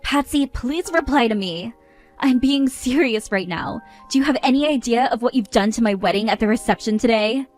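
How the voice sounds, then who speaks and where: A woman's voice: fairly high pitch, moderate pace, a little mellow, close to an everyday voice. A fed-up young bride who scolds with firm indignation, played by a woman. She sounds worn out by the people around her, with faint music in the background. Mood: indignant.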